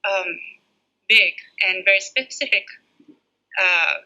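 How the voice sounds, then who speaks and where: A middle-aged woman from Japan, raised in the United States, a preschool director giving thoughtful interview answers with many pauses. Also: medium pitch, bright, forceful tone. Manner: thoughtful, pauses often with fillers. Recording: muffled lo-fi audio.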